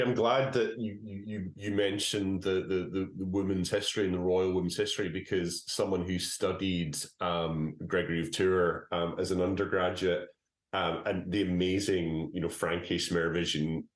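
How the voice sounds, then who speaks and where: A man's voice: deep voice, echoey room. A man hosting an interview, asking long questions with many repeated words and restarts. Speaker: podcast host.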